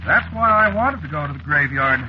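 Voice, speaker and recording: An actor playing a calm, polite stranger, genial and matter-of-fact about death, in an old-time radio drama. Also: male voice, a little high, a little fast, lightly acted. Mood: calm, pleasant. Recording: muffled, noisy vintage radio recording.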